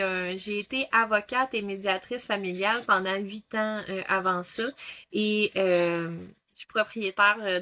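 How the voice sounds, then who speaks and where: A woman's voice: mid-range voice, bright, forceful tone. A woman in her thirties with a Québécois accent, speaking as an interview guest, with many fillers and restarts. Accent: Québécois French. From Quebec. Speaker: guest, ThetaHealing instructor. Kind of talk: casual interview talk.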